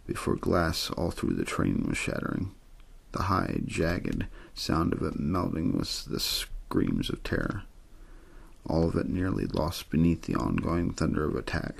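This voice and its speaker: A man reading a story aloud in ASMR bedtime style, with an understated, untheatrical delivery and a gravelly, husky voice. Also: very deep voice, big pitch swings, measured pace. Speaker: amateur audiobook reader.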